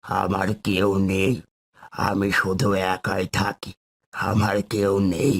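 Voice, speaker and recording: A man's voice: sits low, monotone, slow, creaky, a bit bright, breathy edge, exaggerated voice. A man playing a lonely, humble old wanderer in a fairy-tale cartoon, speaking plainly.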